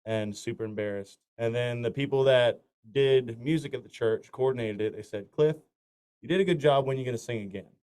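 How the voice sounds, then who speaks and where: A man's voice: mid-range voice, fairly quick, a little mellow, clear, resonant tone, poor audio. A man from north Florida, a country singer, talking casually in an interview and telling long stories full of fillers and restarts. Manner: rambling anecdotes with many fillers and restarts.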